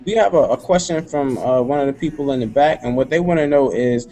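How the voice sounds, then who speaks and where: A man's voice: medium pitch, fairly quick, dark tone, low-quality recording. A male show host interviewing guests, often restarting his questions.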